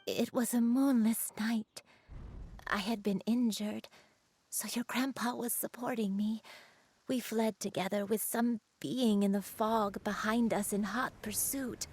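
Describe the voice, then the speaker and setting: A frail old grandmother, her breathy voice slow and halting as she gropes for lost memories. Her pitch sits a little high and soft. Light game music plays underneath. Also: fairly even intonation, heavily acted. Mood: remembering. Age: older. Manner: hesitant, halting, soft-spoken.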